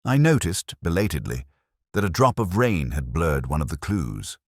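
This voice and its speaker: A man voicing a melancholy, literary English detective narrating a mystery in a measured, wry, brooding manner. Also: deep voice, big pitch swings, no room sound, clean studio sound. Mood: wistful, reflective. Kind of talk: scripted first-person mystery narration in radio drama manner.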